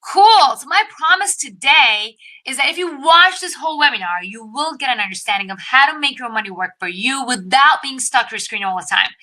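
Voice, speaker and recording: An upbeat woman from Iran hosts an investing webinar and addresses her viewers directly. Her voice is bright and sits a little high. She tells her own story in a scripted presentation style. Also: animated, fairly quick, hardly any pauses, rough recording. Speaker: investing educator hosting a webinar.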